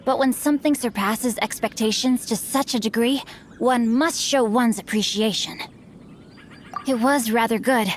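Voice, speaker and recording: A woman's voice: fairly high pitch, normal speed, a little hiss or hum, lightly acted. A woman playing a bookish young nobleman in a video game, speaking formally and theatrically with a self-satisfied air. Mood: enraptured, refined. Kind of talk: video game character acting.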